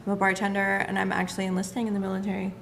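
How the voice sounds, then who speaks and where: A thirty-year-old woman from California speaking in a flat, matter-of-fact way. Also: medium pitch, very fast, gravelly, a little hiss or hum. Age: 30s. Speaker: panel guest.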